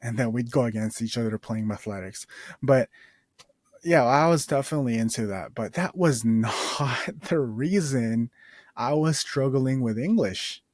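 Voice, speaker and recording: A man's voice: mid-range voice, unhurried, no echo. A man in his twenties casually telling a personal story as a podcast host, rambling with restarts and laid-back slang. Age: 20s.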